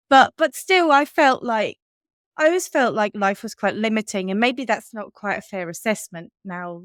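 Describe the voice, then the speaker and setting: A woman's voice: medium pitch, fairly bright tone, no echo, studio quality. A woman in her thirties with an English accent, co-hosting a podcast and chatting candidly, laughing often. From Switzerland. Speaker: podcast co-host. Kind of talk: casual chat.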